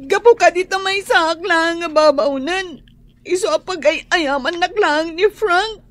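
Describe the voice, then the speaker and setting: A woman playing a heartbroken young maid, crying and speaking slowly through tears. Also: very high-pitched, a bit bright, no echo. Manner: slow, tearful. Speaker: Angie.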